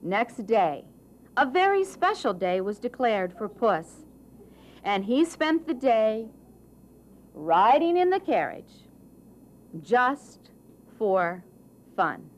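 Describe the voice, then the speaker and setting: A woman narrating a children's storybook tale for a puppet show with measured, dramatic phrasing. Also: fairly high pitch, big pitch swings, slight background noise.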